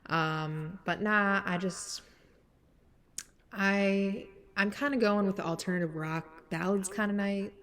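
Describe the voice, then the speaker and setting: A woman's voice: slow pace, a bit bright. A young woman with a stuffy, congested voice, chatting casually and rambling through an introduction before singing a cover song. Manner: rambling, many fillers, swings up high when emphatic. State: stuffy nose from sinus trouble.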